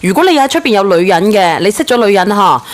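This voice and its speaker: A woman speaks at a rapid clip as host of a live call-in chat. She presses her guests with blunt, teasing questions. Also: mid-range voice, sing-song.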